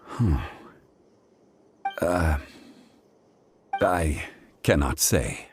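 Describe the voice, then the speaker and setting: A man voicing an ancient, solemn god in human guise for a video game, speaking in a measured, formal, grave manner. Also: a bass voice, lively intonation, very noisy, full character voice. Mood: calm, courteous. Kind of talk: video game character acting.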